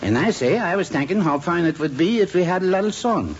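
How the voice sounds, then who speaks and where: A man's voice: high-pitched, animated, husky, exaggerated voice. A man playing a chatty Swedish man with a thick accent and broken English, telling tall tales in a 1950s radio sitcom. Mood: storytelling. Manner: broken English, drawn-out storytelling with punchlines. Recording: muffled old radio broadcast with hiss.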